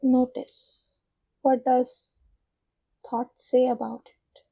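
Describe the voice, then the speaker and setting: A woman guides a meditation in a soft, fairly high voice. Her delivery is slow and evenly pitched, with little rise or fall. She gives gentle, soothing instructions and leaves long pauses between them. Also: little pitch movement, gravelly, breathy edge, no echo.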